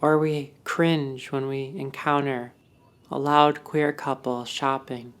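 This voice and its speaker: A woman narrating a guided meditation in a soothing, deliberate, instructive way, as the guide. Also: rumbling low, flat delivery.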